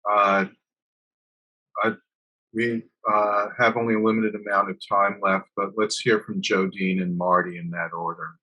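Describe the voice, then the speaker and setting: A man chairing an online discussion, giving brisk, brief cues to speakers. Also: lowish voice, flat delivery, highs cut off. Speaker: session chair. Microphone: video call.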